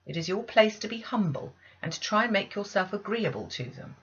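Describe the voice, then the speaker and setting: A woman's voice: medium pitch, lively intonation, fairly quick, breathy edge, lo-fi sound, voice pushed up. A woman voicing a stern, pious lady's maid in a classic novel, sharply reproachful and moralising. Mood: disapproving.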